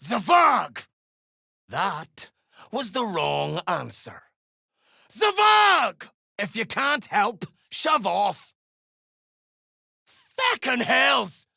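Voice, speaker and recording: Creaky, gravelly, foul-mouthed duergar mercenary. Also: mid-range voice, pushed and bright, no echo, clean studio sound, heavily acted. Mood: angry, threatening. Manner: coarse, swearing, dialect-heavy threats. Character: gruff, foul-mouthed duergar mercenary dwarf, greedy and threatening. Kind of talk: video game character voice acting.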